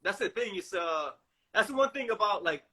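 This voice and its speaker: A middle-aged man from Hawaii with a Hawaiian accent, telling stories in a relaxed, chatty way full of fillers. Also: high voice. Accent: Hawaiian Pidgin-tinged English. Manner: quick, chatty storytelling with many fillers. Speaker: host. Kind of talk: casual chat.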